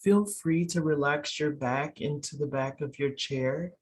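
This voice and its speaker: A person leading a guided meditation in a soothing, unhurried way, pausing between short phrases. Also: medium pitch, steady tone, husky. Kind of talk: guided meditation, slow instructions.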